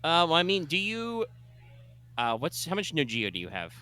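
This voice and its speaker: A man's voice: a little high, huge pitch swings, moderate pace, sharp tone, clean tone. A man running a tabletop game as game master, explaining the world's lore with many fillers and restarts.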